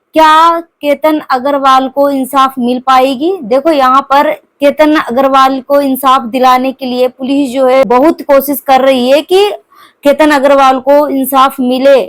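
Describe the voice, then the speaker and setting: High-pitched woman giving informal news commentary. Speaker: commentator on a crime news case.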